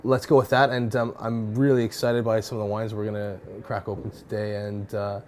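A man in his thirties with a low voice hosts a wine discussion. He talks eagerly, restarting phrases as he goes, in a cellar setting. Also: flat delivery, a little fast, darkish tone, slight background noise. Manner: enthusiastic, with restarts. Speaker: host. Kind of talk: casual chat.

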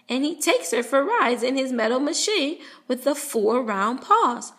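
A woman reading a children's story aloud in a playful, animated way, voicing the narration of a puppy. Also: a little high. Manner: playful, animated, with drawn-out sound words.

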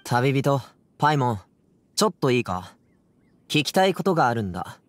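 A man's voice: medium pitch, big pitch swings, moderate pace, close to an everyday voice. An actor playing an earnest, direct young man in an anime game, speaking casually and bluntly. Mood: serious, direct. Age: young adult. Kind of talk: Japanese anime game dialogue.

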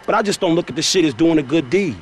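Animated young street hustler voiced by a man. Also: a little high, fast talker, slight background noise, close to an everyday voice. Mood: skeptical. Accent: American. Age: young adult. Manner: slangy, blunt, incredulous. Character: skeptical young street hustler, laid-back and blunt. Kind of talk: video game cutscene acting.

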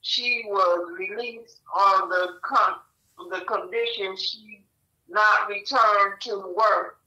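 Woman co-host, slow careful reader, bright tone, muffled lo-fi audio. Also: medium pitch, steady tone. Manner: halting, stumbles over names. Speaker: co-host reading the news report. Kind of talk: reading a news article aloud.

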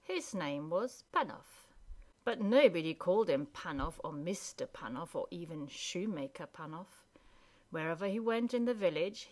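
A woman narrating a children's story as scripted reading, with deliberate pauses between phrases. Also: lowish voice.

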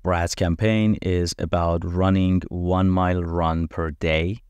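A podcast host, a man whose first language is Farsi, speaks in a very low voice. He talks in an earnest, measured, reflective way. The recording is dry and close, with no room sound. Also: little pitch movement, darkish tone, smooth, no echo. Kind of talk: scripted podcast introduction.

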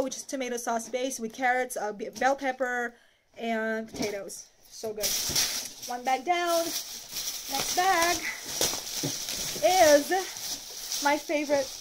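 A woman in her thirties from the Philippines, chatting casually to a phone camera as she unpacks groceries in a small apartment kitchen. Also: sits high. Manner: chatty, holds items up to the camera. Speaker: vlogger. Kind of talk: casual chat. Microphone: phone camera at arm's length. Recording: noisy phone recording.

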